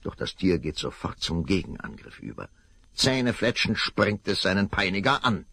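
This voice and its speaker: An older man tells a children's story in a measured narrator's voice. His voice is deep, gravelly and husky, rising and falling with a lively pitch, recorded dry and close. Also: no echo. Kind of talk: scripted narration.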